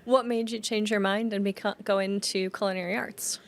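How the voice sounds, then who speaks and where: A woman's voice: mid-range voice, steady tone, fairly quick. A woman hosts an interview, upbeat and encouraging, with short back-channel replies. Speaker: host, international student services specialist. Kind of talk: interview hosting.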